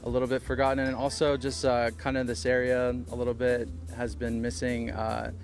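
A man in his thirties explaining his bakery in an easygoing way, with fillers. He speaks into a reporter's handheld mic outdoors. A music bed is clearly audible underneath. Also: mid-range voice, completely flat delivery, brisk. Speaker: bakery co-owner. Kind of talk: live TV interview.

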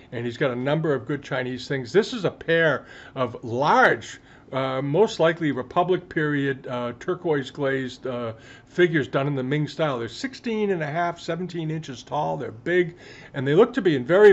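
A man's voice: medium pitch, dull audio. A man in his sixties giving casual commentary on auction pieces from home, rambling with many fillers and restarts, recorded on a desk webcam. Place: home room, indoors.

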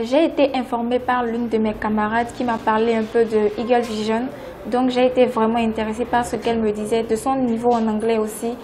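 A woman in her twenties with a Beninese accent, introducing herself as a language student in a classroom interview. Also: fairly high pitch. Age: 20s. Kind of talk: self-introduction testimonial. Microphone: lapel. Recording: noisy classroom.

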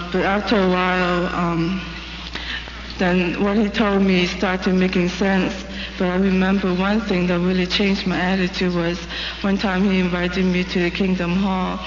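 A woman from North China, raised in Hong Kong, telling her story hesitantly in a stage interview. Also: fairly low pitch. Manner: hesitant, plain storytelling with fillers. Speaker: interviewee giving her experience. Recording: dull, hissy recording in an echoey hall.

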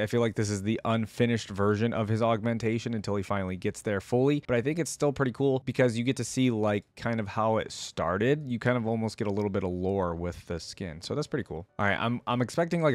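Guy doing casual reaction commentary, average pitch, hedges a lot while thinking out loud, dry close mic. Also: no echo. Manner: hedging, thinking aloud. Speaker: reaction video host. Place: small indoor room at a desk. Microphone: close desk microphone.

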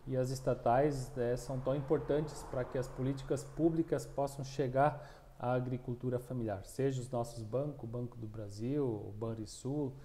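A man's voice: medium pitch, warm tone. A man in his thirties with a southern Brazilian accent, a union coordinator answering interview questions with many restarts, recorded on a lapel mic in a small room. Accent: southern Brazilian Portuguese. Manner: halting, many restarts and fillers. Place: small room, plain wall, indoors.

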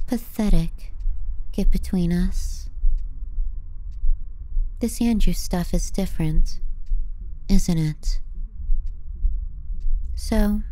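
A woman's voice: lowish voice, dark tone, low-quality recording. A woman playing a possessive, scornful younger sister in an intimate ASMR roleplay, murmuring breathily and languidly. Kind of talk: intimate ASMR roleplay, slow and partly whispered.

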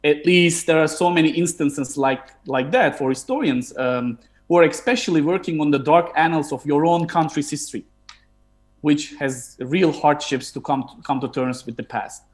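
A man in his thirties from Turkey with a Turkish accent, hosting an online book talk. He pauses often with fillers and restarts, speaking over a video call from a room at home. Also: a little high. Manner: hesitant, frequent fillers and restarts. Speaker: historian and host.

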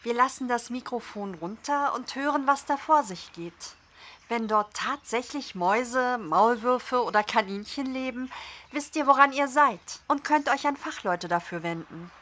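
A woman acting as a friendly female podcaster in a horror audio play. Her voice is fairly high and bright, and she explains things in a casual, chatty way. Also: lively intonation, measured pace. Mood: matter-of-fact.

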